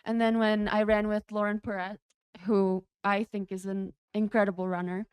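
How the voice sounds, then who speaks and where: A woman in her thirties from the Czech Republic, interviewed as a race champion, laughing often and pausing on fillers. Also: medium pitch, flat delivery, clear, resonant tone, no echo. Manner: giggly, hesitant, many fillers. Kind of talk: interview answers. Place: race broadcast studio.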